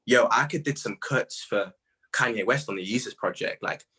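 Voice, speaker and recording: A man in his twenties with a husky voice, a guest artist chatting casually and telling stories in animated slang. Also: medium pitch, normal speed. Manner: animated slangy storytelling, quoting own reactions. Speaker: interview guest, music artist. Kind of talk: casual chat.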